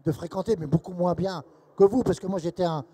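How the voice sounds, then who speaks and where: A man conducting a literary interview, quoting from a book in wordy, deliberate phrasing with frequent interjected tags. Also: sits high, steady tone, dark tone, slightly rough. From provincial France.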